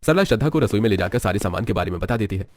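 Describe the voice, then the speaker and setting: A man's voice: fairly low pitch, animated, very fast, a little hiss or hum. A man with an Indian accent narrating a scripted story as a storyteller.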